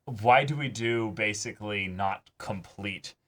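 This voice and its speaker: A man with a very deep voice co-hosts a casual discussion of an anime episode. He speaks informally and haltingly, sounding invested in what he is talking about. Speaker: co-host of an anime reaction show.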